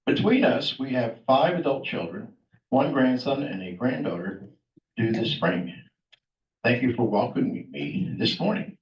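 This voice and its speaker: A man's voice: mid-range voice, lively intonation, a little slow, far from the mic. An older man reads a guest's introduction to a club meeting, plainspoken and slightly reluctant. He sounds set back from the microphone in an echoey room. The sound is dull and muffled. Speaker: club member introducing the speaker. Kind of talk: reading an introduction.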